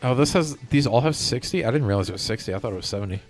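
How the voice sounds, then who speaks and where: A man's voice: mid-range voice, expressive, racing, soft background music. A man chatting casually on a gaming live stream, rambling as he hops from one thought to the next. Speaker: game streamer.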